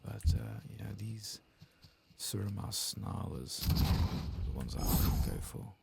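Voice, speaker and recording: A man murmuring soft ASMR commentary as he plays a video game, often slipping into whispers close to the mic. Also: on the low side, animated, measured pace, creaky, background noise. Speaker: streamer playing a video game.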